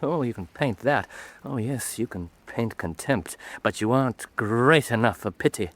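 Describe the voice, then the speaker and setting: A jealous, wounded painter. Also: male voice, mid-range voice, expressive, moderate pace, fairly bright tone, no room sound. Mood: bitter. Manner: hurt, bitter, spiteful. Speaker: painter, her lover. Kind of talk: stage radio drama.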